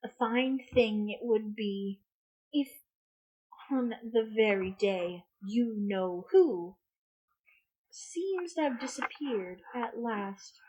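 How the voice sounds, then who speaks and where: A woman's voice: mid-range voice, dark tone. A woman voicing a stern older female professor from a storybook, clipped, irritated and disapproving. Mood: cold. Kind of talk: amateur storybook character reading. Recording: muffled lo-fi audio.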